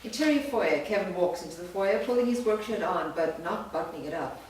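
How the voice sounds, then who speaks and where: A woman's voice: fairly low pitch, lively intonation, brisk, a little mellow, slight background noise. Woman reading screenplay directions, echoey. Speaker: reader of a screenplay. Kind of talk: staged reading of stage directions. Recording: echoey room from far off.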